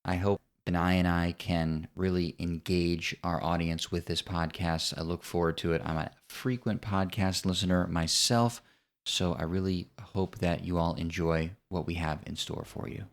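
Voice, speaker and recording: A very deep-voiced man from the United States co-hosts a casual podcast. He talks in a measured, articulate way with a dry sense of humor. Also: little pitch movement. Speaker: podcast co-host.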